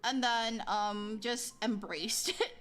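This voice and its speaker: Female streamer, casual rambling chat, high-ish voice, self-deprecating, light music bed. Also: takes it slowly. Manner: rambling, lots of likes and ums, self-deprecating. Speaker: livestreamer reading chat. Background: soft background music.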